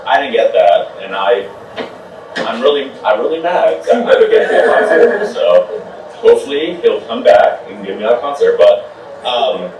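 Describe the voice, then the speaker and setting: Casual man speaking in a booming hall. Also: lively intonation, moderate pace, noisy background. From Albuquerque, New Mexico. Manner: casual, self-deprecating, hesitant. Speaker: historic preservation commission chair. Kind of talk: public remarks. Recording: echoey hall, distant mic.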